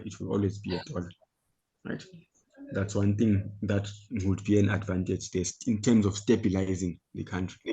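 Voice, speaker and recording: A man's voice: on the low side, warm tone, poor audio. A man leading an online economics tutorial, explaining step by step and often checking in with "right?" over a video call. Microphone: computer mic on a video call.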